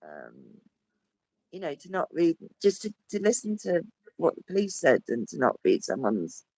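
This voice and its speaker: A man with a croaky, hoarse voice, talking as a guest in a rambling casual chat that trails off and restarts. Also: fairly high pitch, wildly swooping pitch, moderate pace, darkish tone, no room sound, rough recording. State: hoarse with a cough. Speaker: podcast guest. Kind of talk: casual conversation.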